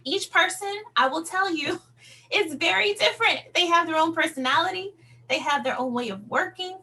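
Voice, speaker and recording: A woman's voice: very high voice, normal speed, sharp tone. A woman speaking as a sales director on a team call, giving a motivational talk in an encouraging, conversational and emphatic manner. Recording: noticeable background noise, hum.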